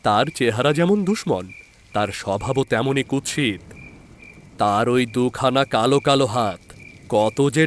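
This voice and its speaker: A man narrating a scripted horror story in a dramatic, measured storyteller's manner with deliberate pauses. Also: mid-range voice, a little hiss or hum. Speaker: story narrator and channel host.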